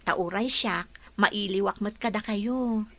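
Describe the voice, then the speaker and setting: A woman playing a dutiful young caregiver daughter in a radio drama, gentle and reassuring. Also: mid-range voice, slow pace.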